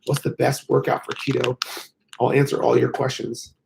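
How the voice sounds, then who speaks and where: A man's voice: medium pitch, level tone, normal speed, gravelly, background noise. A man who has lost his voice, talking casually on a live stream about exercise as a fitness coach, rambling with many fillers. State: hoarse, losing his voice.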